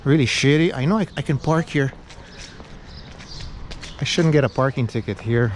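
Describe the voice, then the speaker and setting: A man's voice: mid-range voice, normal speed. A middle-aged man, rambling and joking self-mockingly while vlogging as he walks down a rainy city street. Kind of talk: rambling walking vlog. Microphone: action camera held at arm's length. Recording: street and traffic noise.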